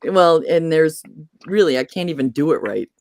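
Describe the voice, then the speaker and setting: A woman's voice: low-pitched, expressive, normal speed, a little mellow, dry recording, studio quality. A woman from Massachusetts chatting casually as host, rambling and losing her train of thought. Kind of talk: casual chat.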